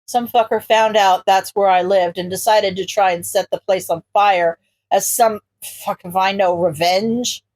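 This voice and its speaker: A gruff, tired hero man played by a woman narrator in an audiobook. The voice is pushed low, and he talks curtly, grumbling and swearing as he goes. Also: steady tone, unhurried, darkish tone, dry recording, close to an everyday voice, low-quality recording. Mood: grumbling, apologetic. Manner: curt, profane, grumbling. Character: gruff, sweary adult male hero, weary and curt. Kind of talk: audiobook character voice.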